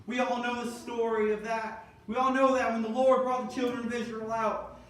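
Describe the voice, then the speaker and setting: A man preaching a sermon, moving from measured scripture reading to emphatic, rising calls. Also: extremely high pitch, little pitch movement, a bit bright. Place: church sanctuary. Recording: echoey church, mic far off, congregation responding.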